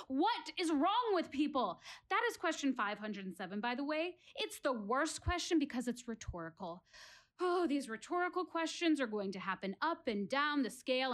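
A woman's voice: high-pitched, big pitch swings, normal speed, a bit bright. An actress playing an earnest, wry woman philosophizing to her theater audience in a dramatic one-woman monologue. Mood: defiant, exasperated. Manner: dramatic, rhetorical, addressing listeners directly.

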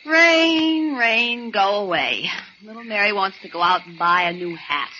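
A slightly muffled, noisy vintage radio play features a detective's playful sweetheart. She is coy and teasing, her bright voice moving up and down with lots of life. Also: mid-range voice, animated, measured pace. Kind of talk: 1940s radio acting.

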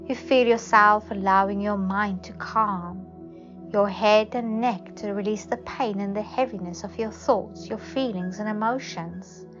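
A woman narrating a guided meditation in a soothing, softly instructive manner. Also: mid-range voice. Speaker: psychic advisor, meditation guide. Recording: dull lo-fi audio under music. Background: prominent meditation music.

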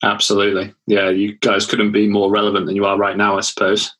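Upbeat podcast host, husky voice. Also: male voice, lowish voice, monotone, a little fast, a bit bright. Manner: upbeat, repeats words. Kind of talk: podcast interview.

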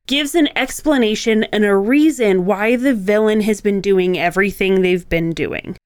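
A woman speaks in a smooth, clear voice as a podcast co-host. Her delivery is conversational and explanatory, and the sound is close and dry, with hardly any room in it. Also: medium pitch, moderate pace, no echo. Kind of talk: conversational podcast discussion of writing craft.

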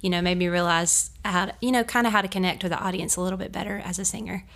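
A woman in her thirties with a North Carolina accent talks in a lowish, steady voice that keeps a narrow range. She is earnest and gentle, sometimes pausing to search for the right words. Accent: Southern US, North Carolina. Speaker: bluegrass singer guest. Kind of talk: casual interview. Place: hotel room.

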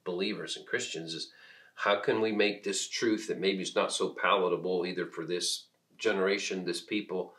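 A middle-aged man from Peru who is a minister, talking in an unscripted discussion in a reflective, halting way as he searches for words. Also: sits low, steady tone, fairly bright tone.

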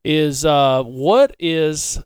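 A man's voice: on the high side, very slow, clear, resonant tone, no echo, studio quality. A man co-hosting a sports podcast in casual, conversational back-and-forth about sports.

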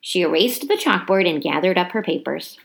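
Low-pitched woman reading a children's story. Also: moderate pace, vocal fry. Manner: dramatic, animated storytelling with emphasized repeated words. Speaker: teacher reading a book aloud. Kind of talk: expressive children's storybook narration.

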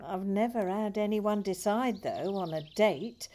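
An actress playing a gentle, patient hospice nurse in an audio play, speaking with careful hesitation. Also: female voice, medium pitch, a little slow, slight background noise, close to an everyday voice. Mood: calm, curious.

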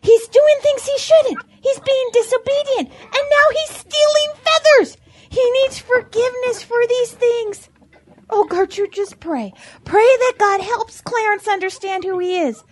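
A woman's voice: extremely high pitch, unhurried. A woman voicing a sensible, pious female farm animal who soothes and moralizes earnestly in an audio drama. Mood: pleading, pious.

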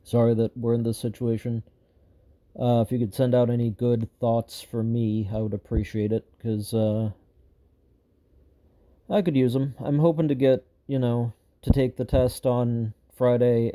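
A man hosting a show gives an unscripted update to viewers, rambling and frustrated, with lots of fillers and restarts, and he sounds slightly hoarse. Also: medium pitch, mellow. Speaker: show host giving an update.

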